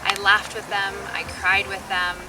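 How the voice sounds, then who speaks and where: A woman's voice: mid-range voice, little pitch movement, a little slow, over loud music. A woman in her thirties telling her infertility story in an earnest, sincere way. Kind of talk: heartfelt personal storytelling. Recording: roomy, distant, noisy.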